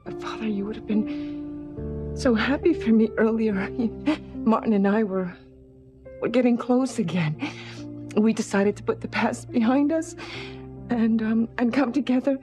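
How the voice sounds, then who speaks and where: A woman acting in a soap opera as a troubled, halting wife confiding her heartache. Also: fairly high pitch, close to an everyday voice. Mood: heavy-hearted. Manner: halting, sorrowful. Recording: music under the voice.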